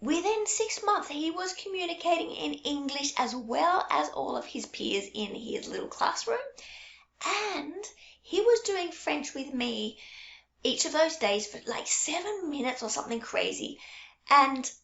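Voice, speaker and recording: A middle-aged woman, a French teacher for young children, talking straight to camera in a warm, reassuring storytelling way. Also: sits high, expressive, unhurried, creaky. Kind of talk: casual direct-to-camera talk. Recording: echoey room, dull lo-fi sound.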